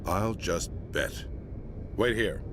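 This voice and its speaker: Gruff low-voiced space-navy admiral. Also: male voice, slow, noisy background. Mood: displeased, sardonic. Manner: gruff, blunt, sardonic, commanding. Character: stern, disapproving middle-aged male space-navy admiral. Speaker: rear admiral character. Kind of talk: video game dialogue.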